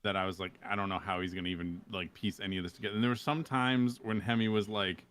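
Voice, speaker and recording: A man's voice: fairly low pitch, fairly even intonation, fast talker, fairly bright tone. A man chatting casually as a guest on a gaming podcast, with faint noise behind him. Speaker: podcast guest, roleplay storyteller. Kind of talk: casual chat.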